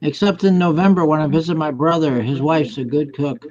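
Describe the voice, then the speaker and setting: Older male, fairly high voice, hesitant conversational delivery, muffled online-call sound. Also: fairly even intonation, a little fast, crowd noise. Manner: hesitant, repeats himself. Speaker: chat participant. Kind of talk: casual group chat. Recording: dull online call audio.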